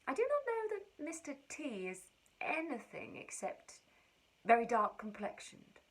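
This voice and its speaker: A woman giving an expressive, wry and precise reading of a period letter. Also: high voice, unhurried, poor audio. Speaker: reader.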